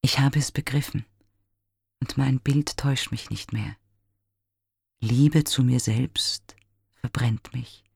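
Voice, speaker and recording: A man voicing a lovesick young man who despairs over his own reflection, speaking in an intimate, mournful, pleading way with breathy whispers. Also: mid-range voice, fairly even intonation, slow pace, long pauses, a little mellow, no room sound, studio quality, exaggerated voice. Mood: despairing.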